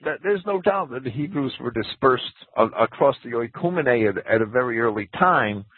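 A man's voice: mid-range voice, animated, normal speed, breathy edge, dry recording. A man guest on a radio talk show, explaining in a hesitant way with many restarts and careful caveats. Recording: phone-like radio audio.

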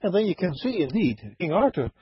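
Man calling in, high husky voice, dull lo-fi chat audio, rambling and halting. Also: very animated, no echo. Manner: halting, laughs often, many fillers. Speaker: caller. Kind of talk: rambling call-in storytelling. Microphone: online voice chat.

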